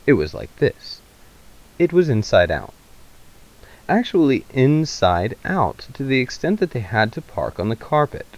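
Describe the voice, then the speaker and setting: A man's voice: medium pitch, very animated, measured pace, darkish tone, lo-fi sound. A man reading a story aloud as narrator, in a dramatic literary style. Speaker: narrator, reader.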